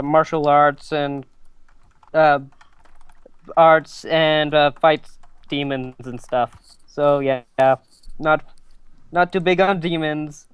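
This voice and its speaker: A man speaks casually and haltingly as a player in a tabletop game. His voice is slightly high and clear. It has a close, dry sound with little room. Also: dry recording. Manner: hesitant, halting. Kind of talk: casual chat.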